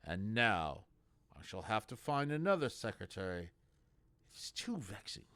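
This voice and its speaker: A man voicing a cold, soft-spoken male church leader with quiet, measured menace. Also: lowish voice, very animated, no room sound.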